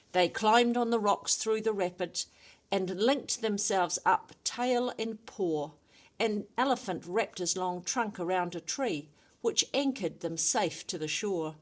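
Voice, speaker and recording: A woman storyteller reading a rhyming children's story expressively. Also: medium pitch.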